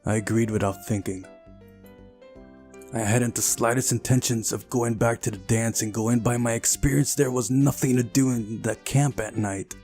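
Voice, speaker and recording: A man's voice: mid-range voice, fairly even intonation, normal speed, a little mellow, soft background music. A man hosting a visual novel playthrough, reading the narration aloud and adding casual commentary. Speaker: let's play host.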